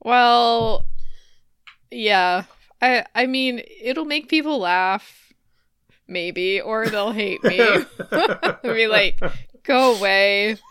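A woman's voice: fairly high pitch, extremely slow, pauses often, a bit bright, clear, resonant tone, no echo, studio quality. A woman co-hosting a podcast in casual banter, with a giggly, playful manner. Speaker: podcast co-host.

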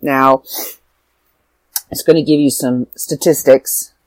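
A woman's voice: extremely low pitch, fairly even intonation, takes it slowly, darkish tone, no echo. A young woman chats to her camera at home in a loose, rambling way, often stopping mid-thought and restarting. She sounds tired and stuffed up, with a touch of a sore throat. The sound is close and dry, with hardly any room around her. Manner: rambling, self-interrupting. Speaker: vlogger. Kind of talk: casual chat to camera.

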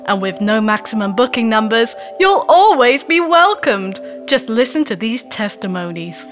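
Woman doing a high-voiced, polished spa advert announcer. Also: sing-song, moderate pace, a bit bright, soft background music. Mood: salesy, upbeat. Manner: polished, promotional. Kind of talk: scripted advert voice-over.

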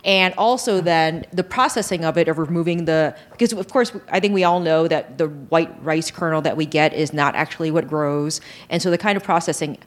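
A woman's voice: low voice, a little fast, few pauses. A middle-aged woman co-hosting a casual chat, reminiscing and talking firmly over her partner. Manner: reminiscing, assertive, talks over others. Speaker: co-host.

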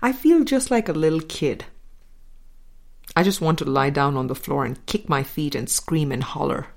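A woman reading a scripted spiritual dialogue aloud in a measured, deliberate way, pausing between short phrases. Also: low-pitched, creaky. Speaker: audiobook reader.

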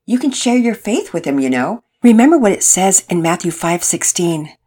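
A woman playing a soft-spoken, devout mother who speaks gently and a bit hesitantly. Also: lowish voice, sing-song, moderate pace, slightly rough. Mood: gentle.

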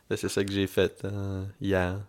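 Young male podcast co-host, Québécois accent, low voice, easygoing storyteller. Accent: Québécois French. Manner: relaxed, laid-back storytelling with English slang mixed in. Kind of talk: casual chat.

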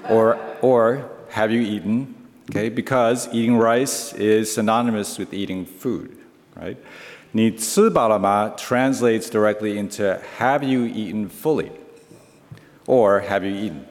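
A man's voice: lowish voice, measured pace. Playful teacherly man in a reverberant hall. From United States. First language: Chinese. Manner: playful, teacherly, checks in with listeners. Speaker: congregation speaker. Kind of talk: humorous talk on language. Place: large church hall.

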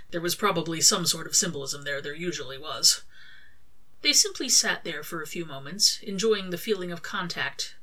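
Female story narrator, slightly low register, crisp bright tone, scripted prose reading. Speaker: author reading own fan fiction.

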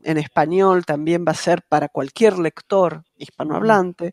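A woman's voice: fairly low pitch, normal speed, darkish tone, no echo. Casting note: middle-aged Argentine woman, translator guest, reflective and hesitant, dry close sound. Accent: Argentine Spanish, softened by years abroad. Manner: thoughtful, many hesitations and fillers, light laughs. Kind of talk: casual interview conversation.

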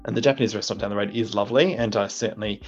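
A man's voice: fairly low pitch, fairly even intonation, racing. A middle-aged man, a teacher and deputy principal, giving earnest, reflective interview answers with frequent restarts. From Australia. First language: English. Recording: muffled lo-fi audio with faint music.

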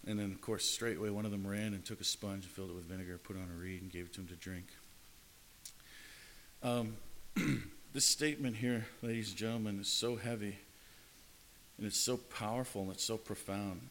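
A man preaches in a lowish, earnest voice, often circling back and repeating phrases as he builds his point. A faint hiss runs under the recording. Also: moderate pace, fairly bright tone, poor audio. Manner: earnest, repetitive phrasing with frequent restarts. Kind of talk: sermon.

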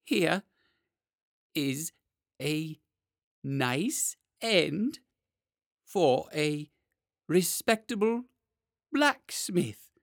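Man doing a timid blacksmith, storybook, shaky high voice, nervous, dry close sound. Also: sing-song, extremely slow, gravelly, pauses often, no echo, heavily acted. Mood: frightened. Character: timid middle-aged male blacksmith, trembling.

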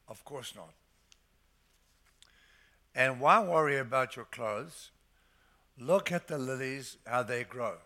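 An older man preaching a sermon to his church, stressing scripture phrases slowly and emphatically. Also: medium pitch, pushed and bright. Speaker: pastor.

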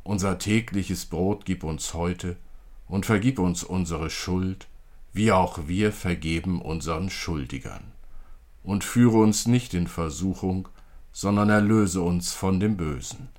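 A man speaks in a fairly low register at a very unhurried pace. He leads prayer like a clergyman in a church service podcast, with solemn, measured phrasing. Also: darkish tone.

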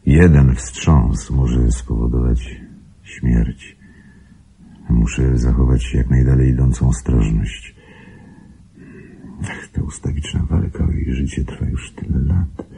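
An actor playing a grave, secretive wealthy husband in a radio drama, speaking formally with measured control in a gravelly, husky voice. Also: male voice, extremely low pitch, dark tone, a little hiss or hum, full character voice. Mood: grave, troubled. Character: grave, secretive wealthy husband guarding his invalid wife.

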